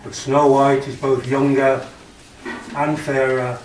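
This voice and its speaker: A man playing a frank, courtly magic mirror in an amateur pantomime, reciting in a measured, declamatory way. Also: mid-range voice, level tone, natural voice. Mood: solemn, frank. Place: indoor amateur stage performance. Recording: echoey hall, distant, loud noise.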